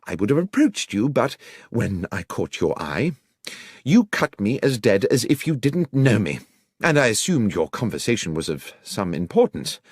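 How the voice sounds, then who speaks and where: A man voicing an affable, slightly wounded gentleman in an audiobook, with a chatty, animated, lilting manner. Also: lowish voice, normal speed, breathy edge, no room sound, clean studio sound. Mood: mildly hurt.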